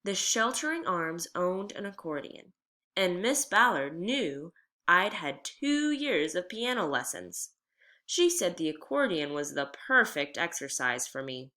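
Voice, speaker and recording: A woman reading a children's memoir aloud like a teacher, with expressive, deliberate storytelling delivery. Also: fairly low pitch.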